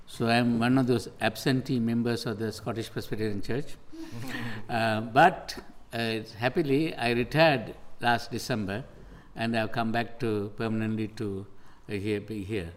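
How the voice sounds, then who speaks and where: A man's voice: mid-range voice, steady tone, a little slow, slight background noise. A man in his sixties from Sri Lanka, a retired professor, introducing himself with hesitant, self-deprecating humor. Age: 60s.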